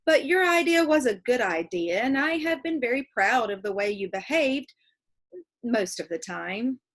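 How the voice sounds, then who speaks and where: Storybook schoolmistress played by a woman reader: older, formal, kindly but commanding, high-pitched, emphatic delivery. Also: animated, unhurried. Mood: earnest.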